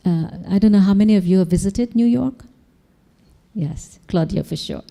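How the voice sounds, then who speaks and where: A woman's voice: medium pitch, a little slow, creaky, dark tone. A woman from Guyana speaking on a panel in a meditation hall, sharing her personal story in a friendly, conversational way with small laughs. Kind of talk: personal testimony.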